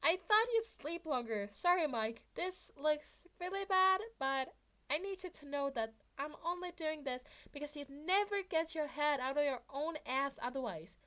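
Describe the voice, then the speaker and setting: A woman's voice: high-pitched, expressive, normal speed, pushed and bright, voice pushed up. A woman reading fan fiction as an earnest, cheeky teenage boy hero student who sounds apologetic yet brazen. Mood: sheepish, defiant.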